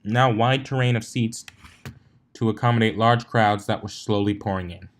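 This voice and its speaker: A man's voice: on the low side, fairly even intonation, normal speed. A man reading a scripted story aloud as a fan fiction narrator.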